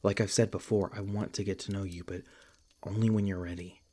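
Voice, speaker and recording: A man playing a protective, tender monster hunter in an ASMR roleplay, speaking in a gentle, halting, earnest way with a husky, breathy voice. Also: sits low, little pitch movement. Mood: tender, concerned. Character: protective, tender male monster hunter comforting his beloved. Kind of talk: ASMR roleplay, soft intimate acting.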